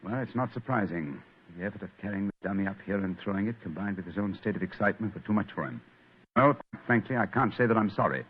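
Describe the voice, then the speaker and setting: An actor playing a shrewd, commanding British gentleman detective who explains things with brisk authority in old-fashioned radio drama style. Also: on the low side, expressive, breathy edge. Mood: hushed, sober. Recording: muffled old radio recording, faint noise.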